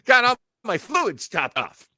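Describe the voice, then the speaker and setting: A man's voice: sits high, huge pitch swings, a little slow, bright, forceful tone, dry recording. A man hosting a show in casual, chatty commentary. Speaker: host of an old-time radio show podcast.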